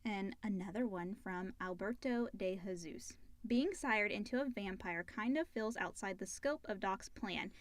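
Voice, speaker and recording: A woman's voice: mid-range voice, a little slow, few pauses. A woman co-hosting a casual question-and-answer show, reading listener questions aloud.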